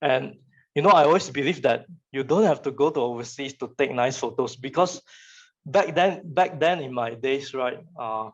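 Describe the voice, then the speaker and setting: A young man with a Malaysian accent and Chinese as his first language gives an informal talk to students as a guest speaker, in a halting, colloquial way that often repeats phrases. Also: mid-range voice. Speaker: guest speaker, photographer and digital artist. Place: online virtual session. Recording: muffled, lo-fi online call audio.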